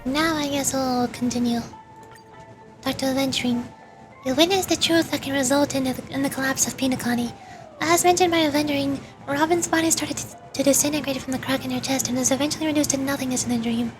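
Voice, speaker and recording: A woman's voice: sits high, fast, low-quality recording. A woman streamer playing a video game, musing aloud in casual commentary and reading dialogue out. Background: game music.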